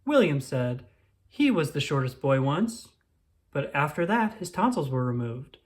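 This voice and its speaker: An expressive reader narrates a children's storybook at a middling pitch. The intonation is very animated, and key phrases are drawn out deliberately. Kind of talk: storybook read-aloud, first-person child narrator.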